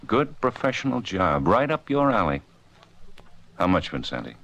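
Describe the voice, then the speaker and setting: An actor playing a tough, sardonic police lieutenant in an old-time radio crime drama, speaking in clipped, commanding lines. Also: male voice, on the low side, sing-song, normal speed, a bit bright, full character voice. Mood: hard, pressing.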